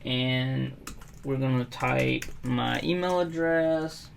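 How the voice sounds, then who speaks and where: A middle-aged man from South Carolina walking through a software setup at his desk, relaxed and unhurried, with frequent ums and uhs. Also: medium pitch, gravelly, a little hiss or hum. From South Carolina, USA. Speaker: WordPress tutorial host. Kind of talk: casual screen-recorded software tutorial. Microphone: webcam overlay at desk.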